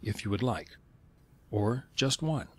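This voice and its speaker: A man reading scripted instructional narration in a measured, step-by-step way. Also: lowish voice, expressive, husky.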